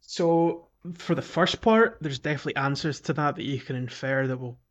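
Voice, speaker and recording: A man's voice: mid-range voice, moderate pace, highs cut off. A man co-hosting a podcast, chatting casually about games in a hesitant, rambling way. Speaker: podcast co-host. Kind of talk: casual gaming podcast chat.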